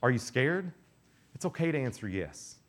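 Animated man preaching to teens. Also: medium pitch, moderate pace. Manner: animated, joking, acts out quoted voices. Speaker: youth camp speaker. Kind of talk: youth sermon.

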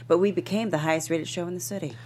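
A woman in a dramatic table read playing a famous talk-show host testifying in court, wounded but defiant, earnest and deliberate. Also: on the low side, steady tone, a little mellow, natural voice. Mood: proud, defiant. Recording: noticeable background noise and hum.

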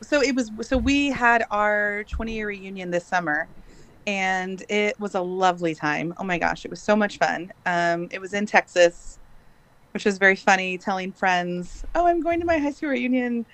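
A woman's voice: medium pitch, normal speed, a bit bright. A woman in her late thirties who grew up in Bangladesh, speaking as a podcast guest in casual, chatty talk with frequent laughs and hesitant fillers. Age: late 30s. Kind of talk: casual interview chat.

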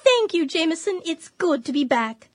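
A sociable, matchmaking middle-aged aunt in a Victorian radio drama. Her very high voice rises and falls freely. She is genteel, effusive and gently bossy. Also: big pitch swings, moderate pace. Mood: effusive, affectionate.

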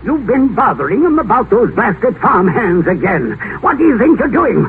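An actor playing a blustering, bossy middle-aged estate owner in a 1950s radio drama, accusing and stammering. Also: male voice, high-pitched, sing-song, moderate pace. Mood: angry, blustering. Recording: tinny noisy old radio broadcast.

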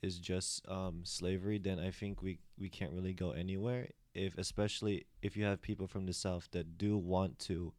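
A man with a very low voice talks through a casual group discussion, circling back with fillers and false starts. The sound is dry and close. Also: level tone, moderate pace, hardly any pauses, darkish tone, dry recording. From United States. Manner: rambling with many restarts and fillers. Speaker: discussion participant.

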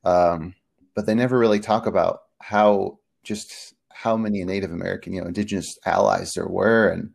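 A man's voice: sits low, fairly even intonation, normal speed, gravelly, darkish tone. A young man from Peru, a podcast guest, talking earnestly with many fillers. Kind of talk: casual interview chat.

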